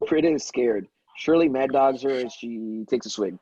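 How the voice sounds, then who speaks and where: A man's voice: medium pitch, moderate pace, mellow, highs cut off. A man reading scripted stage directions as narrator of a table read.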